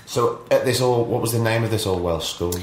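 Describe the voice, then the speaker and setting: A man with a very deep voice hosts a podcast in a chatty, encouraging way. His voice bounces around an echoey room. There's a faint hiss behind it. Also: brisk. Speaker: podcast host. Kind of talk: casual interview. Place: office.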